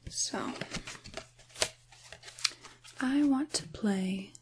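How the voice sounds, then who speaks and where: A woman playing a soothing, mysterious witch in an ASMR tarot roleplay, speaking softly and half-whispering with pauses, close to the microphone. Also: a little high, background noise. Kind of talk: soft-spoken ASMR roleplay, tarot reading.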